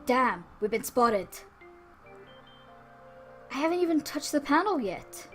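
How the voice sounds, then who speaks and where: A woman's voice: high-pitched, very animated, unhurried, fairly bright tone, faint music behind, exaggerated voice. A woman voicing a dramatic, touchy young male alien soldier in a visual novel, speaking in exclamations. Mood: alarmed.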